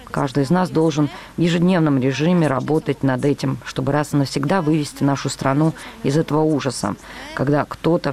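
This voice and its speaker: Man reading a calm news voice-over. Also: fairly high pitch. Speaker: voice-over translator.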